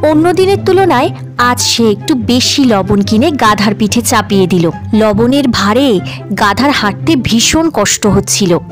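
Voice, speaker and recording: A woman narrating a scripted fairy tale for children in storybook style. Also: a little high.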